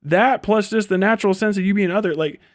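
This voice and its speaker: A high-voiced American man delivers an off-the-cuff livestream rant, recorded dry and close with very little room sound. He is blunt and rambling, swears freely, and talks in an animated, conversational way. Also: fast, no echo. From United States. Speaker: livestream host. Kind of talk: casual ranting livestream commentary.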